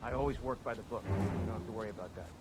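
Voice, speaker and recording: A man's voice: lowish voice, racing, very noisy, natural voice. An actor playing a nervous, fumbling male field agent in a video game. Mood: flustered. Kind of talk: video game cutscene acting.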